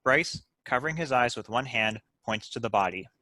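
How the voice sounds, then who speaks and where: A man's voice: mid-range voice, animated, moderate pace, sharp tone, dry recording. A man reading out stage directions as the narrator of a scripted table read.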